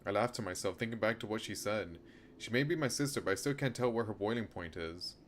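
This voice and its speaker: Male game streamer, lower voice, reads visual novel text and chats, noticeable background noise. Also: expressive, fast. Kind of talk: reading visual novel narration aloud with casual live commentary. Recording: clear background noise, rainy ambience. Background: noise, rain during a stream.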